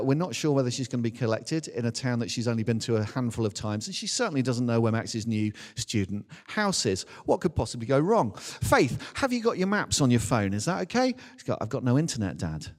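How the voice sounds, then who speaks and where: A middle-aged man preaching as a minister, telling a personal story in a chatty, self-deprecating, animated way. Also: mid-range voice. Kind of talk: anecdotal sermon, informal storytelling. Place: large indoor church hall. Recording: reverberant hall, live church talk.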